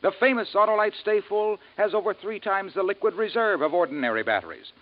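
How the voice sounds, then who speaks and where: A male radio pitchman in a 1950s commercial style. His fairly high voice sweeps up and down with showy, punchy salesmanship, on a muffled old recording. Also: expressive, a little fast.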